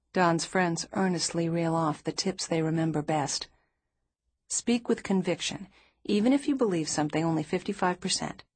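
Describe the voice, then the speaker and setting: A woman narrating a nonfiction book in a measured, even reading style. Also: on the low side, breathy edge, no echo. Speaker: audiobook narrator.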